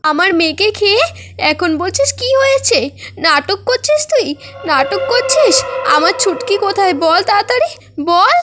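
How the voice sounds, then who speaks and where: A woman voicing a distraught village mother in an animated moral story, accusing and insistent. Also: extremely high pitch, normal speed, over music. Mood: furious, accusing.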